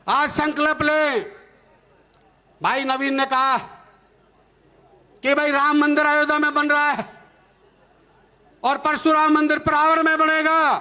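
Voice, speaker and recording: A man with a Haryanvi accent addresses a political rally as a community leader. His voice is extremely high for a man, with a bright, tense, forceful edge. He speaks defiantly and emphatically, hammering phrases through repetition and rhetorical questions. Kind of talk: fiery political rally speech.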